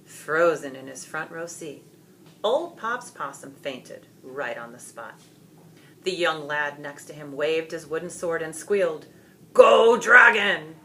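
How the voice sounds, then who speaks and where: A woman reading a children's story aloud in dramatic, expressive, deliberate narration. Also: low voice, slight background noise.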